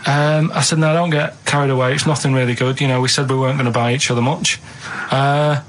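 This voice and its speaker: A man's voice: medium pitch, fairly even intonation, quick pace, a little hiss or hum, rough recording. A man chatting casually on radio, telling stories in a matter-of-fact, rambling way. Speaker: radio show co-host. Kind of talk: casual radio banter and anecdotes. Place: radio studio.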